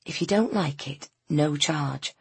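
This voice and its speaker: A woman voicing a kind, upbeat woman bookseller in a storybook, with a gentle, earnest manner. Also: fairly high pitch, big pitch swings, a little slow, husky, pitched above the performer's own voice, exaggerated voice. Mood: gentle persuasion.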